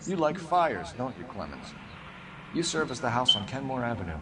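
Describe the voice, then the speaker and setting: An actor playing a stern, needling 1940s police detective in a video game, with clipped, accusatory questioning. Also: male voice, medium pitch, very animated, moderate pace, very noisy, close to an everyday voice. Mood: accusing. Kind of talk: dramatic video game acting.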